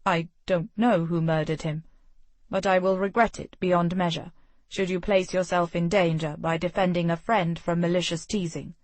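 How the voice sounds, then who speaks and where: A woman voicing a calm, protective young nobleman who speaks firmly and steadily in an audiobook. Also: high-pitched, dry recording, natural voice. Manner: calm, firm and measured.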